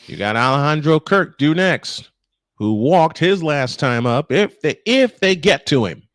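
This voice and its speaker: A man's voice: a little high, animated, a little slow, fairly bright tone, no echo, clean studio sound. A man calling live baseball play-by-play as a sportscaster. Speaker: play-by-play commentator on a live stream.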